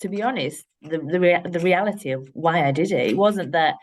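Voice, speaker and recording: A woman with a Yorkshire accent and a lowish voice talks plainly and directly about her work. She sounds to be in her late thirties. She speaks as an interview guest in an easy, practical way, with plenty of ums. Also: fairly quick. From Yorkshire, England. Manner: direct, practical, frank, with fillers. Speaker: guest, head of operations. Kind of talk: podcast interview, casual chat.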